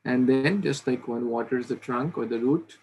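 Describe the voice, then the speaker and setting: A man in his thirties recaps a scripture chapter for an online study group, earnest and a little halting. His voice has a gravelly edge. It comes through a laptop webcam in a small home bedroom, with a faint hiss behind it. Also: mid-range voice, darkish tone, poor audio. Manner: earnest, halting with fillers and restarts. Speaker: scripture class leader. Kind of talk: recapping scripture study over video call. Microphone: laptop webcam on video call.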